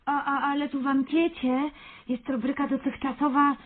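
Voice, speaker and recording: An actress plays a dutiful woman assistant in a radio comedy. Her high voice is deferential and a little hesitant. The sound is thin, like a phone line. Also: little pitch movement, normal speed, close to an everyday voice. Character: dutiful, timid female assistant to the mayor. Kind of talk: radio comedy drama acting. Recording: muffled phone-like radio recording.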